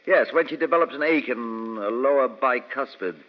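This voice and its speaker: An actor playing a blustering, evasive villainous doctor, hesitant and indignant in an old radio play. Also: male voice, medium pitch, moderate pace, close to an everyday voice. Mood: fussy explaining. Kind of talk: old-time radio drama acting. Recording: dull old radio recording, long reverb.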